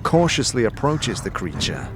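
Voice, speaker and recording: Man, scripted fantasy narrator, dramatic storytelling, measured phrasing, medium pitch, prominent music behind. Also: big pitch swings.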